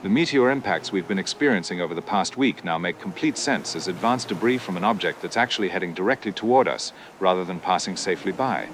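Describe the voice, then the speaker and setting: Fast-talking low-voiced grave scientist played by a man. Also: expressive, fairly bright tone, a little hiss or hum. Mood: grave, regretful. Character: grave male scientist explaining a catastrophic asteroid miscalculation. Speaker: expert interviewee on a TV news broadcast. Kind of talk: formal scripted news interview, technical explanation.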